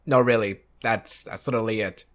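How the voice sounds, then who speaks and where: A man's voice: on the low side, fairly even intonation, brisk. A man hosting a scripted countdown video, delivering commentary with wry, self-deprecating asides. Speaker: video host. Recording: background music under the voice. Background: music.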